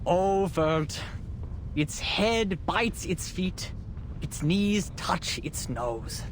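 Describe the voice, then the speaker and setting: A man's voice: high-pitched, lively intonation, extremely slow, noisy background, exaggerated voice. An actor playing a solemn, idealistic man giving a theatrical memorial speech in a grandiloquent, oratorical manner in a video game. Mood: impassioned, solemn. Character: solemn eulogist, an idealistic man mourning his friend.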